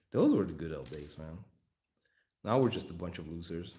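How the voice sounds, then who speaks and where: A man's voice: low voice, a little mellow, echoey room. A Hungarian man rambling and joking with his live chat while he assembles a miniature. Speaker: livestream hobby host. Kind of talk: casual livestream chat while building a model.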